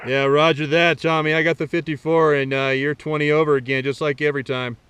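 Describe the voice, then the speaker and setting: Quick-talking man, casual and colloquial. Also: fairly high pitch, little pitch movement, fairly bright tone. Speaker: amateur radio operator. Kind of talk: casual radio chat and outdoor vlog talk. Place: outdoors on a rocky mountain summit. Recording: faint outdoor background noise.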